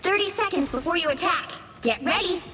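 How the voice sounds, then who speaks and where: An actress playing a brisk battle announcer in a video game. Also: female voice, very high voice, distant mic, phone-quality sound, slight background noise.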